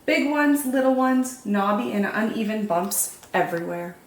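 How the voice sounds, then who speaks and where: A woman hosting storytime, reading picture books in an expressive, animated way for young children. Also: medium pitch, moderate pace, echoey room. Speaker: children's storytime host and reader. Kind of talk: expressive picture-book narration.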